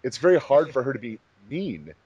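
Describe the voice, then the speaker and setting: Fast-talking man in casual commentary. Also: mid-range voice, very animated, a little mellow, breathy edge, dry recording, muffled recording. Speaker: fan dub director, commentary co-host.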